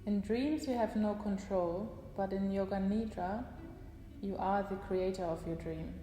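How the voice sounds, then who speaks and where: A woman guiding a yoga nidra relaxation session, giving slow, calm instructions with long pauses. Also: mid-range voice, soft background music. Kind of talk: guided relaxation meditation. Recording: roomy echo with long reverb, faint hiss.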